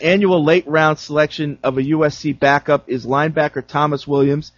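A man's voice: on the high side, steady tone, brisk, no room sound. A man hosting a casual sports talk show, reading listener emails aloud between easygoing banter. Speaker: radio talk show host. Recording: dull lo-fi dry sound.

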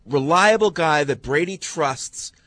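A man's voice: a little high, expressive, a little slow, fairly bright tone, dry recording. A man hosting a sports talk radio show, chatting with hesitant fillers and restarts. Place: radio studio.

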